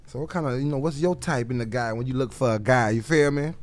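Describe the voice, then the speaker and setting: A young man from hosting a casual podcast interview, loud and teasing, often repeating his words. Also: mid-range voice, fast. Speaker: podcast host. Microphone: desk mic on boom arm.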